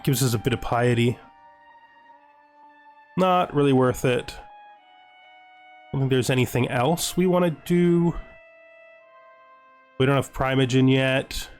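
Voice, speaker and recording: A man's voice: mid-range voice, slow pace, long pauses, faint music behind. A man giving casual let's-play commentary on a strategy game, talking through his decisions to himself and often checking them with a questioning tag. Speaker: gaming video host playing a strategy game.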